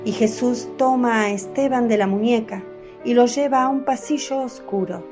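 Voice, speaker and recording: Female narrator, radio drama, higher voice, steady storytelling, light music bed. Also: normal speed.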